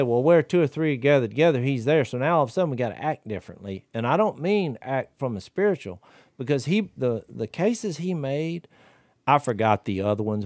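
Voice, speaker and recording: A man, co-hosting a podcast, talking in an animated, riled-up way on a studio mic. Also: mid-range voice, no room sound. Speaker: podcast co-host. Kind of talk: casual religious discussion. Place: wood-paneled studio room. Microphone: studio mic with headphones.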